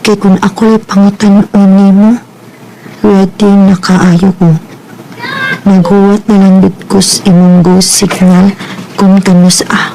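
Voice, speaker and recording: A woman's voice: mid-range voice, little pitch movement, a little slow, creaky, a little hiss or hum, poor audio. An actress playing a shy, flustered young woman in love, speaking with a Cebuano accent in a Bisaya radio drama. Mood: hopeful, wistful. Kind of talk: Filipino Bisaya radio drama acting and narration. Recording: radio drama with loud music behind.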